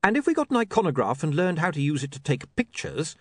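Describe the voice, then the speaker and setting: Audiobook young newsman male reader: earnest, mild, halting, polite, higher-pitched. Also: sing-song, a bit bright, no room sound, clean studio sound. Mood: hesitant, earnest. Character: mild, earnest, hesitant young man in newspaper work.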